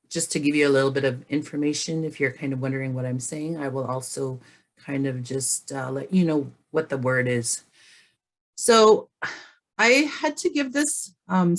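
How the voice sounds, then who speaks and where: A woman from the James Bay area of northern Canada giving a webinar talk over a video call, hoarse from laryngitis, speaking carefully with many pauses. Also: low-pitched. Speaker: guest presenter. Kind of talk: webinar presentation.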